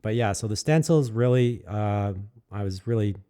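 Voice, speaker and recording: A man's voice: lowish voice, moderate pace, dark tone, clean tone. A man narrating his own work in an easygoing, rambling way, candid and enthusiastic, with many fillers and restarts. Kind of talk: casual reflective voice-over.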